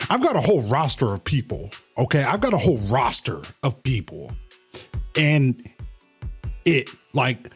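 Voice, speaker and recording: A man's voice: fairly high pitch, husky. A man livestreaming a game with casual, playful reaction commentary, slangy and emphatically repeating his phrases.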